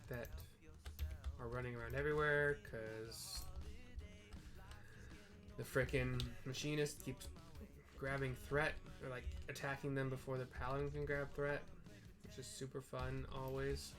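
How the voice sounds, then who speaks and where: A man's voice: mid-range voice, level tone, unhurried, long pauses. Casual man narrating his gameplay over music. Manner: rambling, mildly sweary, self-correcting. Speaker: gamer narrating a playthrough. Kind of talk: casual play-by-play with audio description. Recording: game music behind the voice. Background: video game music.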